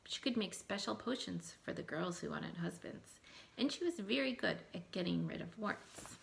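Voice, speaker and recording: Low, creaky-voiced woman reading for schoolchildren. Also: lively intonation, normal speed. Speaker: elementary school staff member reading aloud. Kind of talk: school announcements and storybook read-aloud.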